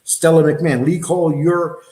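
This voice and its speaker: A man's voice: fairly high pitch, flat delivery, moderate pace, a little mellow, roomy sound. A man hosting a livestream talk show, blunt and rambling, throwing out rhetorical questions and reading viewer comments. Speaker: show host.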